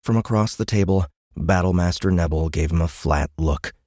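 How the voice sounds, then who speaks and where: A man's voice: deep voice, normal speed, a little mellow, no echo, studio quality. A man narrating fiction as a scripted, dramatic audiobook reading.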